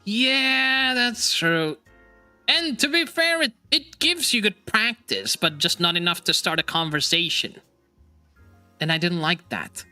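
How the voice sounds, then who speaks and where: Man, high voice, very bright tone, second-language English, chatty, laughs a lot. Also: unhurried, smooth, soft background music. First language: not English. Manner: laughs often, animated and teasing. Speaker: streamer host. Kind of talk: casual chat.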